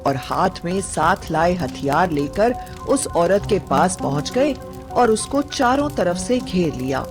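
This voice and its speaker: Slow-paced woman narrating horror over music. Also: on the low side, sing-song. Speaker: storyteller. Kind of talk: scripted horror story narration. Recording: music bed behind the voice.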